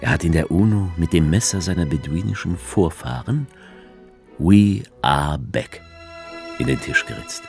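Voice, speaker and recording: A sardonic male writer telling his own journey in a radio play, his voice sitting very deep and gravelly. He speaks with a lively, rising and falling melody, and a music score runs underneath. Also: unhurried, exaggerated voice. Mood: wry. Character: male writer travelling to Tripoli, wry and sardonic. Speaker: narrator as author character. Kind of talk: radio drama narration.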